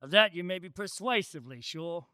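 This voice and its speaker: A man plays a wry, seedy junk-shop keeper in a radio drama, teasing and familiar. The voice is sharp and forceful, a little high for a man, and sounds close and dry. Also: expressive, brisk, dry recording.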